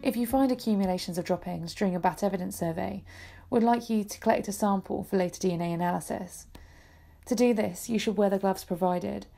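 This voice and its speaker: A woman reading a scripted voice-over that gives slow, careful, step-by-step instructions for setting up equipment. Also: medium pitch, gravelly, dark tone. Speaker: project presenter.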